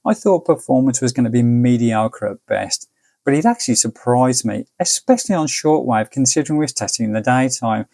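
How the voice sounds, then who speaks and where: A man giving a casual, plain-spoken product review to viewers in a measured way. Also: medium pitch, animated, gravelly, mellow, no echo, clean studio sound. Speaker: radio reviewer and video host.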